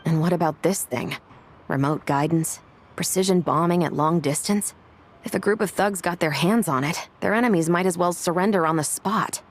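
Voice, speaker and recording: A worldly, appraising woman, played by an actress, low in pitch with a husky edge. She is cool and considered, in the manner of video game dialogue. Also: normal speed, close to an everyday voice.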